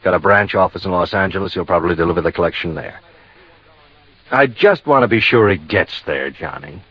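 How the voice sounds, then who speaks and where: A man's voice: low voice, animated, fairly quick, slightly rough, no room sound, highs cut off. An actor playing a businesslike, concerned man giving a briefing in a 1950s radio drama.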